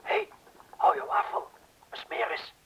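A male actor playing a furtive, watchful burglars' lookout in a radio play, breathy and creaky. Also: unhurried, a bit bright, close to an everyday voice, poor audio. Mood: furtive, hushed.

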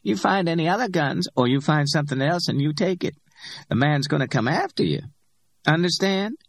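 Guy putting on a high drawly tough old gal, blunt and wry, swings around a lot. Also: animated, moderate pace, vocal fry, no room sound. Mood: warning. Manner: drawling, folksy storyteller. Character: tough streetwise older woman, blunt and wry.

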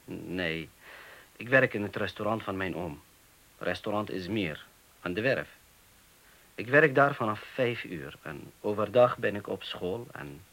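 A man's voice: fairly low pitch, bright, forceful tone, exaggerated voice, rough recording. A man playing a polite, guarded young student from Turkey in a radio drama, speaking carefully and hesitantly with formal courtesy. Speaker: actor in a radio play. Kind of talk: naturalistic radio drama acting.

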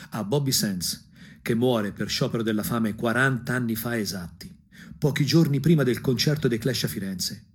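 A man with a Tuscan accent and a husky voice performs an ironic, angry, emphatic theatrical monologue for a punk radio drama. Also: fairly low pitch. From Anghiari, Tuscany, Italy. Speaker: stage actor and storyteller. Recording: music under the voice. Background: music.

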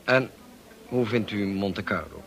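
Low-voiced, reserved gentleman. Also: expressive, takes it slowly. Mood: cool, polite. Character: reserved, courteous, curt wealthy English gentleman. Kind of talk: radio drama acting.

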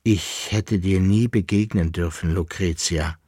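A man's voice: low-pitched, measured pace, a little mellow, husky, no echo, studio quality. A man voicing a proud, blunt young nobleman in an audiobook, with formal old-fashioned phrasing. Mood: ardent.

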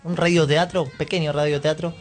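A man's voice: a little high, level tone, brisk. A man with an Argentine accent chatting casually as co-host of a radio show. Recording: music playing under the voice. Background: background music.

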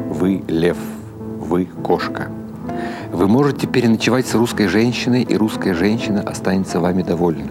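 A man's voice: lowish voice, natural voice. A man voicing an older Odessa sage with an Odessa accent who lectures in an ironic, sermonizing way, full of rhetorical questions. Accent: Odessa Russian. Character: old Odessa cemetery sage, wry and lecturing. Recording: music behind the voice.

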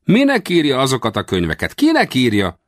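A man's voice: on the low side, huge pitch swings, a bit bright, no room sound, studio quality, pitched above the performer's own voice, heavily acted. A man voicing a cranky, proud little old Wild West hunter in a storybook, with blustering, exclamatory, folksy talk. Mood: puzzled, mocking.

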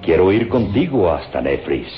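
An actor playing an eager, covetous professor in an old radio serial, insistent and weighty. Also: male voice, low-pitched, very animated, normal speed, a little hiss or hum. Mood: fervent persuasion. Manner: dramatic, insistent, weighty delivery. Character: eager, covetous male professor obsessed with ancient tombs. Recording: dull old radio recording, faint music. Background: faint dramatic music.

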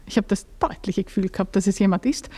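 A woman's voice: mid-range voice, fast talker, warm tone, lightly acted. A woman playing a suspicious, piqued society mistress with a pointed, sarcastic manner in a German radio play.

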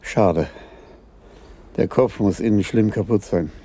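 An actor playing a terse, matter-of-fact senior police chief in a German radio crime drama. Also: male voice, fairly low pitch, slow pace, warm tone, a little hiss or hum. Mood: grim.

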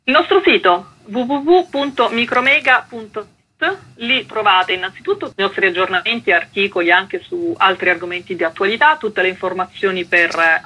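A woman's voice: mid-range voice, bright, forceful tone, a little hiss or hum, rough recording. A woman, a magazine co-editor giving an articulate, explanatory radio interview.

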